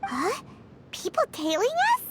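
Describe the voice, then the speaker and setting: A woman voicing a tiny, excitable, childlike fairy companion in a video game, squeaky and exclamatory. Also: unhurried, slight background noise, exaggerated voice. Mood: startled.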